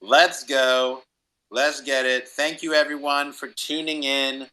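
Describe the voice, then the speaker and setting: A male podcast host with a very bright, forward voice. He chats enthusiastically and encouragingly, cheering on guest and audience like a hype man. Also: medium pitch, flat delivery, slow. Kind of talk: enthusiastic podcast interview chat.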